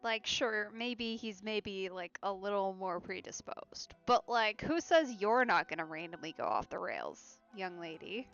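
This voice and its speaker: A woman's voice: mid-range voice, expressive, measured pace, few pauses, fairly bright tone. A woman streamer giving casual let's play commentary with wry, conversational asides, on a slightly dull mic. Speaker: game streamer. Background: game music.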